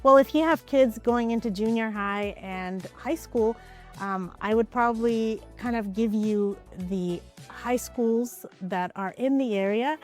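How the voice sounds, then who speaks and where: A woman's voice: fairly high pitch, unhurried. Easygoing woman from Ukraine, faint music. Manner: relaxed, conversational, with frequent hedges. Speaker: real estate agent. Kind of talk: answering interview questions.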